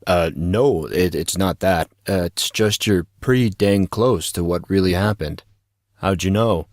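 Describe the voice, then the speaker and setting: A man's voice: low-pitched, measured pace, dry recording. A man playing a big, easygoing teenage boy who explains things patiently with a knowing, lightly teasing manner in an audio story. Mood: hesitant.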